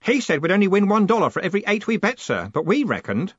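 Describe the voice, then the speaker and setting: A man's voice: high voice, very animated, fast talker, fairly bright tone, slightly rough, dry recording, lo-fi sound, voice pushed up, heavily acted. A man voicing an eager, naive young clerk in an audiobook, earnest, deferential and a bit pedantic. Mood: happy, eager. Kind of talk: audiobook character voice.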